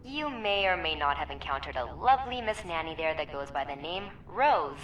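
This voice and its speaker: An actress voicing a brisk woman quest-giver who sends the player on errands from her bunker. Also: expressive, fairly bright tone, dull audio. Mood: instructing. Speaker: quest-giving character. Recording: roomy, distant game audio with faint rumble.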